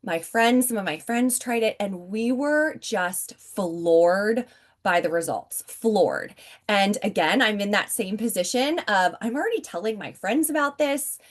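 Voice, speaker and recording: A woman in her forties giving an upbeat, chatty sales pitch from home over a video call, often addressing her listeners directly. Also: lively intonation, measured pace. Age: 40s. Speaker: network marketing presenter.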